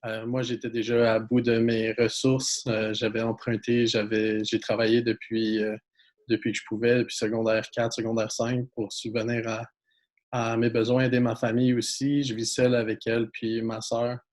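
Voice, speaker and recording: A young man with a Québécois French accent, a new graduate thanking donors, speaking hesitantly with many pauses and audible emotion. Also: mid-range voice, monotone, a little mellow. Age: young adult. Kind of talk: spontaneous thank-you speech. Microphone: video call.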